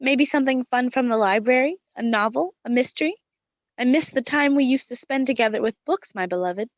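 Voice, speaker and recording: Female, actress playing loving, anxious wife narrating gothic tragedy; higher register, formal diction, dry sound. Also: fairly quick, no room sound, muffled recording, natural voice. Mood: tender, coaxing. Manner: formal, literary phrasing. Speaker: actress in an audio drama. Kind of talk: dramatic first-person radio play narration and dialogue.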